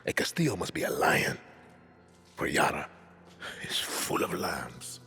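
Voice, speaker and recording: An actor playing a calm, controlling middle-aged dictator in a video game, with a Latin American Spanish accent and a measured, menacing delivery. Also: male voice, extremely low pitch, gravelly, slightly rough, heavily acted. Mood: brooding, solemn. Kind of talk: cinematic video game acting.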